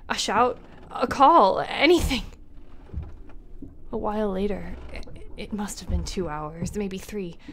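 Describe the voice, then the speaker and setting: A woman's voice: medium pitch, slow, fairly bright tone, slight background noise. An actress playing a female ship captain recording her last log entries, frightened and dropping into whispers. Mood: distraught. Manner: frightened, breaking into whispers and cries. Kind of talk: audio drama acting.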